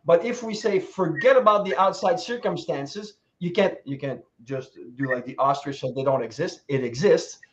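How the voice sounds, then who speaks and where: A man in his sixties tells motivational stories in a high, bright register. He laughs between lines and acts out the voices of the people he quotes. The sound is dull and lo-fi. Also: moderate pace, hardly any pauses. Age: 60s. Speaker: guest speaker. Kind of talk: motivational storytelling.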